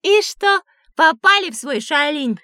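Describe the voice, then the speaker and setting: A woman's voice: high voice, expressive, unhurried, pushed and bright, no echo, clean studio sound, exaggerated voice. A woman playing a naive, curious young girl who chips in pertly in a scripted educational audio drama. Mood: curious, teasing.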